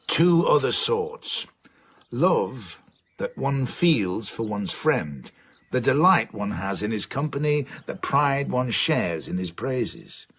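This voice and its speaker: An actor playing a learned, forceful older male scholar in a radio drama, speaking with an emphatic, declaiming manner. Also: mid-range voice, big pitch swings, slow pace, husky, full character voice. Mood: pontificating. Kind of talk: BBC radio drama acting.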